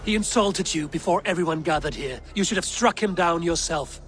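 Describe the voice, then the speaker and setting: Fierce Viking warrior played by a man, higher-pitched. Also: brisk, a bit bright, close to an everyday voice. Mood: stern, urging. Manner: blunt, menacing, commanding. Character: fierce, blunt male Viking warrior, quick to threaten. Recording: music behind the voice.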